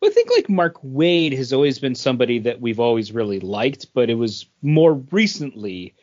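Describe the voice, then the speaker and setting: A man's voice: medium pitch, huge pitch swings, normal speed, darkish tone, lo-fi sound. A man co-hosting a podcast in casual discussion, hesitant as he searches for his words. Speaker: podcast co-host. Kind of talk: casual group discussion about comics.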